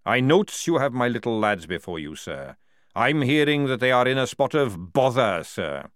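A man voicing a fierce, loyal old male army sergeant who barks his words in a forceful parade-ground manner. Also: medium pitch, sing-song, moderate pace, no room sound, clean studio sound. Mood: stubborn, pleading.

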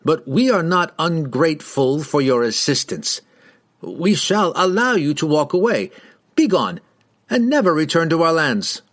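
Man as a haughty, hostile mounted guardsman, lively. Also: medium pitch, a little slow, pitched above the performer's own voice, lightly acted. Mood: commanding. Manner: pompous, sneering, archaic formal speech. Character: haughty, hostile male town rider, leader of patrol. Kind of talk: fantasy audiobook character voice.

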